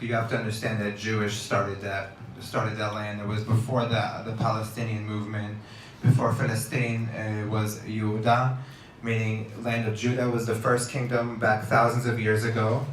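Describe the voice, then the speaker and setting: A man in casual radio chat as a guest, rambling with many fillers and restarts. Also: fairly low pitch. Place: outdoors on a beach. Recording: roomy, distant mic, faint noise.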